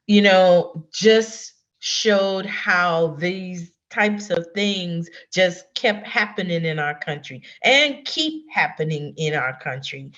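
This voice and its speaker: Woman, lowish voice, very slow pace, deliberate, dull lo-fi recording, casual poet talk. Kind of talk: casual talk about own poetry.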